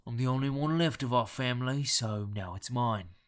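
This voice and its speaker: A man plays a gruff London rogue with a thick Cockney accent. His voice is guttural, nasal and scratchy from smoke and drink. He talks slowly and slyly, teasing out a deal in an audio horror story. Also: medium pitch, close to an everyday voice. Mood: sly, unhurried.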